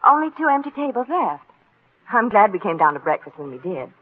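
An actress playing a breezy, attentive wife in a 1940s radio drama, with a chatty, affectionate manner. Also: female voice, medium pitch, very animated, fairly quick, slightly rough, dry recording. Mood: light, concerned. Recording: tinny old radio recording.

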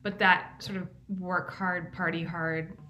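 A woman, co-hosting a podcast in quick, laughing casual chat. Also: on the low side, level tone, bright, forceful tone. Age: 30s. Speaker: podcast co-host.

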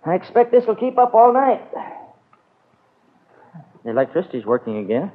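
A man's voice: sits high, sing-song, moderate pace. A blunt, worried farm man in a vintage radio drama. He sounds middle-aged, caught on a thin, muffled old recording. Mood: uneasy, probing. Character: Lefty, worried, blunt older farmhand father. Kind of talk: old-time radio serial acting.

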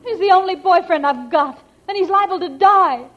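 A woman's voice: very high voice, normal speed. A woman playing a melodramatic gangster's moll, wailing and overwrought, in a 1940s radio comedy. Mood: distraught. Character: melodramatic gangster's moll fretting over her boyfriend. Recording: dull, noisy vintage radio recording.

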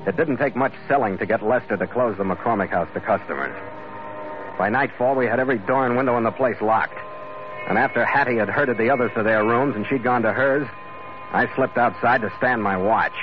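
An actor playing a tough, wry sailor and bodyguard in an old radio drama, terse and matter-of-fact. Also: male voice, mid-range voice, a little fast, over music, close to an everyday voice. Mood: grim narration. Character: tough, wry Irish-American sailor hired as bodyguard, narrating. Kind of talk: 1940s-50s radio drama acting, hard-boiled narration. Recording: dull vintage radio recording.

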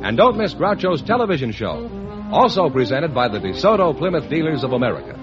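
A man reading the closing announcements of an old radio show in a smooth, polished style. His voice is fairly high and lively, and the lo-fi archival recording carries music beneath him. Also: fairly quick. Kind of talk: 1950s radio announcing and sponsor reads.

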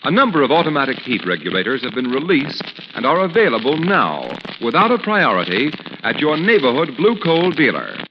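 A man's voice: medium pitch, wildly swooping pitch, a little fast, hardly any pauses. A man reading a scripted commercial as a 1940s radio announcer. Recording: muffled, noisy old radio recording, reverberant.

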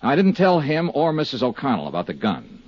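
A muffled, dry 1950s radio recording of a world-weary American investigator. His voice is low and husky, and he talks in clipped, candid, hard-boiled phrases. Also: huge pitch swings, fairly quick, no echo. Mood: matter-of-fact. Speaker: lead actor and narrator of a detective serial. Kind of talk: 1950s radio detective drama acting.

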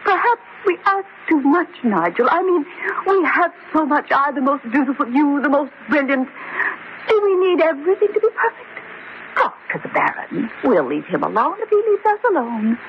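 An actress playing a vain, devoted wife in distress in a campy horror radio drama, pleading and overwrought. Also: female voice, sits high, very animated, moderate pace. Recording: dull, faintly noisy old radio recording.

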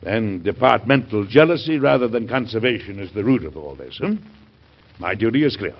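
A man playing an indignant, burdened middle-aged American president in an old radio drama, speaking formally and emphatically with a gravelly, husky voice. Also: fairly low pitch, expressive, brisk. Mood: firm, impatient. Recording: muffled vintage radio broadcast.

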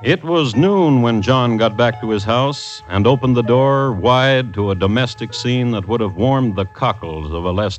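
A man narrating a scripted old-time radio drama as a storyteller, with a wry, literary manner. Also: on the low side. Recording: muffled vintage radio recording. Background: music.

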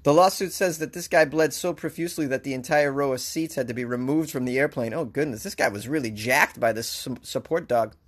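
A man's voice: medium pitch, brisk, few pauses. A man with a Boston accent hosting talk radio, ranting sarcastically about the news and laughing often. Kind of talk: opinionated news commentary.

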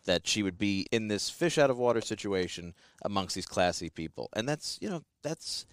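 Male co-host, lowish voice, dry close sound, easygoing and explanatory. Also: expressive, moderate pace, no room sound. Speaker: podcast co-host. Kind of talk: casual discussion.

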